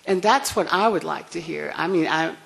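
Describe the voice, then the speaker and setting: An older woman with a soft voice, speaking frankly and wryly on a discussion panel. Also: low-pitched, normal speed, vocal fry, a little hiss or hum. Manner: frank, wry, chuckling asides.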